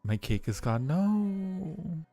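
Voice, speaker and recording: A man chatting casually with viewers as a livestream host, sometimes halting as he explains things. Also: fairly high pitch, big pitch swings, gravelly, dark tone. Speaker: streamer and audio roleplay creator. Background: faint music.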